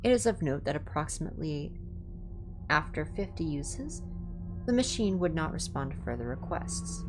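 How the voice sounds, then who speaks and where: A woman reading aloud in an informal ASMR livestream style, in a measured, careful way. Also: lowish voice. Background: music.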